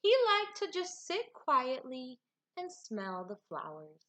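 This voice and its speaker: Bright, very animated woman teacher. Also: high voice, takes it slowly. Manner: animated, expressive delivery addressed to young pupils. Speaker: elementary teacher. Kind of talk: read-aloud lesson for children.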